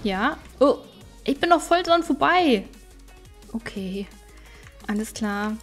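Higher-voiced woman doing game commentary over music. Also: expressive, takes it slowly. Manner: chatty, thinking aloud to herself and viewers. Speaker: gaming YouTuber. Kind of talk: casual let's play commentary. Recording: music behind the voice.

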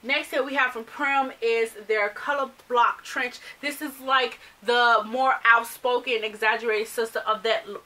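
A woman's voice: a little high, recorded from a distance. A woman in her thirties, a fashion vlogger, chatting animatedly to camera in a small bedroom, English not her first language. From United States. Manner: chatty, animated, gestures while talking. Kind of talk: casual chat to camera. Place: small bedroom filled with clothes and accessories.

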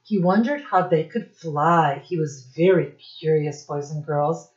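Middle aged lady teacher doing storytime for little kids, slowish, kinda dull webcam audio. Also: low voice, a little mellow. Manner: instructive, addresses children directly, pauses to point things out. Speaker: early childhood teacher reading aloud. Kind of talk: children's storybook read-aloud with questions to young viewers. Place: small room at home, indoors.

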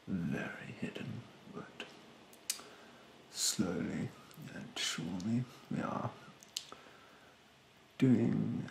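A young man doing a soft-spoken ASMR roleplay in a breathy, hushed voice, politely snide and condescending with drawn-out, hesitant phrasing. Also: fairly low pitch, roomy sound, a little hiss or hum, low-quality recording. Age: young adult. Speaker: ASMR performer playing a rude facial therapist. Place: bedroom, indoors.

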